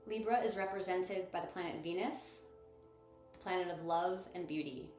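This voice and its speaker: A woman's voice: on the low side, moderate pace, a little mellow. Woman guiding a meditation in roomy echo. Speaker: guided meditation host and sound bath guide. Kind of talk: guided meditation narration. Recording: roomy echo with music behind. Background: music.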